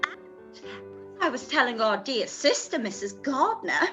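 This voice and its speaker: A woman's voice: sits high, very animated, takes it slowly, bright, forceful tone, faint music behind, heavily acted. A woman playing a fretful, self-pitying middle-aged mother in a period radio play, complaining and exclaiming melodramatically. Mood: tearful grievance.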